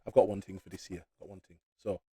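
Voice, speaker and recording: Animated Yorkshire man ranting about football. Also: sits low, fast, breathy edge, no room sound. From Leeds, northern England. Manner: animated, slangy, mocking. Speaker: football channel host. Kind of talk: casual opinionated football rant.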